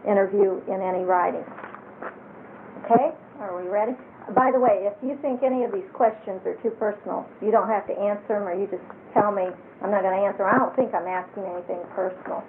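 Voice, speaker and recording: A woman conducting a casual interview, chatty and hesitant with frequent fillers. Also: medium pitch. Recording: tinny lo-fi home tape with hiss.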